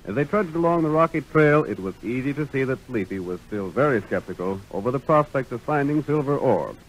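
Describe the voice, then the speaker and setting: A man's voice: medium pitch, animated, brisk, low-quality recording. A man with an American accent narrating a scripted 1930s radio drama as its announcer. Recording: vintage 1930s radio recording.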